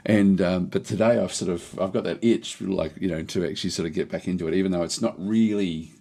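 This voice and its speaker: A middle-aged man streaming a game, chatting casually with a slightly hoarse voice that is on the mend, rambling with many restarts. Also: deep voice, mellow. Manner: rambling, halting, lots of restarts and fillers. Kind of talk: casual live-stream chat.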